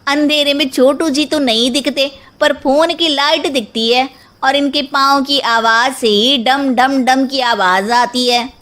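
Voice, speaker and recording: Woman playing a high, feisty young daughter-in-law. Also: fairly even intonation, measured pace, fairly bright tone. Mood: triumphant. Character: brash, feisty young daughter-in-law with street-smart slangy talk. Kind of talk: animated Hindi story voice acting.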